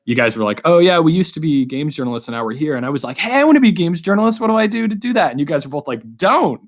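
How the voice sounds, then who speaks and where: A man's voice: a little high, expressive, rapid-fire. A man in his early twenties hosting a podcast in a casual, informal, rambling way. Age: early 20s. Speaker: podcast host.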